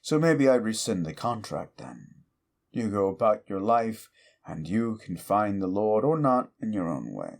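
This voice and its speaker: A scornful, lawful-evil demon, voiced by a man, who berates the listener with bitter contempt. His voice is a middling male pitch, and he speaks slowly and deliberately, dropping to hushed menace before rising into exasperated tirades. This is an audio roleplay. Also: very animated, creaky, exaggerated voice.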